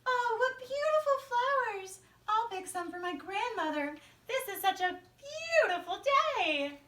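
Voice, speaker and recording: A woman's voice: very high-pitched, lively intonation, a little slow, a bit bright, voice pushed up, full character voice. A woman voicing a sweet, naive little girl in a fairy-tale puppet show. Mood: cheerful. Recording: echoey room, distant from mic.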